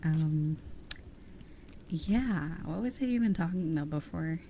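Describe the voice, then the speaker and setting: A woman in her twenties chatting casually as an ASMR streamer in a soft, breathy voice that often drops to a whisper, rambling candidly close to the microphone. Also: sits low, expressive, unhurried, slight background noise. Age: 20s. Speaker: ASMR livestream host. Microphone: close ear-to-ear ASMR microphone.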